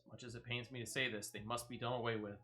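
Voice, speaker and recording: A stern guard officer from a fantasy game, played by a man reading aloud. The delivery is formal and earnest, set at a middle pitch. The tone is bright and firm, that of someone bound by duty. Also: level tone, natural voice. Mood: earnest, grave. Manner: formal, earnest, measured phrasing. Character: stern, dutiful Yellow Jackets guard officer. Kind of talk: video game dialogue read aloud.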